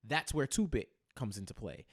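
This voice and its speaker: A man co-hosting a casual recap chat, speaking in a halting way and repeating phrases as he thinks aloud. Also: medium pitch, lively intonation, husky. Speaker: podcast co-host.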